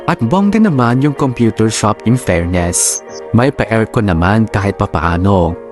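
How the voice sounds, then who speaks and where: A man's voice: lowish voice, lively intonation, measured pace, music in the background. A man narrating a drama story in the first person, in a chatty storytelling manner.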